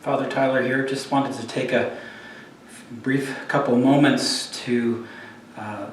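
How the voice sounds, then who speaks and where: Flat-voiced priest in an echoey room. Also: male voice, medium pitch, slow pace, far from the mic, a little hiss or hum. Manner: hesitant, with many fillers. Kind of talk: announcement to a congregation.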